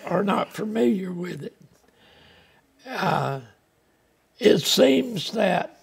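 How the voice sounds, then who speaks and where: A man's voice: high voice, vocal fry, breathy edge. An older man preaching a folksy, plainspoken sermon from the pulpit of a small church, speaking through a lapel mic. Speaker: pastor.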